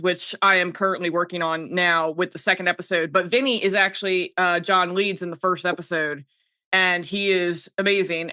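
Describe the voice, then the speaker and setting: A woman's voice: medium pitch, bright, forceful tone, no echo, clean studio sound. A woman podcast guest telling personal stories in a casual, informal way, often quoting herself as she goes. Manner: informal, self-quoting storytelling. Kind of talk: casual chat, personal anecdotes.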